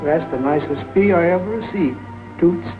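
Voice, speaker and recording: Actor as kindly doctor, tinny old film. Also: male voice, a little high, moderate pace, lightly acted. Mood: tired, tender. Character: kindly, weary small-town doctor. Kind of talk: 1940s film drama acting. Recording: tinny 1940s film soundtrack, music behind.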